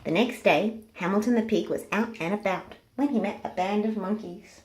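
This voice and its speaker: A woman's voice: medium pitch, moderate pace, darkish tone. A woman narrating a picture book aloud for children in a storytelling style.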